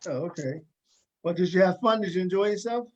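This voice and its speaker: Higher-voiced man hosting a talk show. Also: brisk, a little mellow, lo-fi sound. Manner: repeats short phrases in acknowledgement. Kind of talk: casual interview with cast members.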